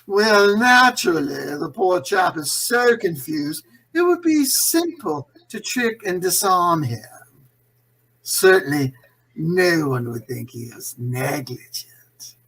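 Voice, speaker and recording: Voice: medium pitch, big pitch swings, takes it slowly, a bit bright, husky, heavily acted, low-quality recording. An actor playing a condescending, scheming imprisoned crime boss in a 1940s-style radio play, suave and gloating in manner. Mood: sly, amused.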